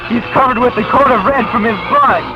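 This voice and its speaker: Male narrator for amateur horror audio drama: high-pitched voice, earnest dramatic reading, loud music underneath. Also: fairly quick, highs cut off. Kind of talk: dramatic scripted horror story narration, speaking character lines himself.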